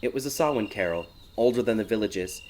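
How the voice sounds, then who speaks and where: A man narrates a dark fairy tale in a lowish voice, as in an audiobook. Crickets and night ambience are clearly audible behind him. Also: expressive, a little fast, a little hiss or hum. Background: night insects, ambience.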